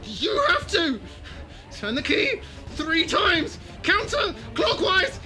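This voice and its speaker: A man acting in an audio drama as an excitable, flippant resort bar owner, blustering and evasive. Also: extremely high pitch, slow, soft background music, full character voice. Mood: frantic, shouting.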